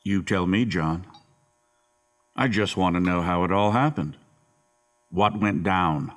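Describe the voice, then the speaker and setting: A man's voice: low voice, a bit bright. A man playing a stern, condescending interrogator in an audio drama, needling and pressing insistently with menace. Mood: coolly pressing. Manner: deliberate, needling, repeatedly presses.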